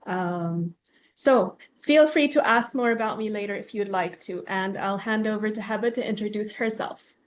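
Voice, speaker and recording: A woman's voice: medium pitch, moderate pace, slight background noise. A woman from Tanzania leading an online workshop, talking through the plan in a friendly, organized way with frequent "um" and "okay". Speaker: workshop facilitator, university instructor. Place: home or office, on a video call. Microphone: computer mic on a video call.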